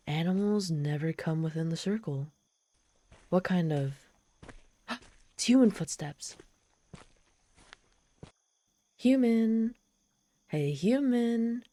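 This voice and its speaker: A woman's voice: lowish voice, warm tone, no echo. A woman playing a proud, lonely, desperate and socially awkward demon in a dramatic audio roleplay, haughty yet pleading. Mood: bored, sardonic, then excited, pleading. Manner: haughty yet pleading, theatrical sighs and gasps. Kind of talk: dramatic ASMR roleplay storytelling.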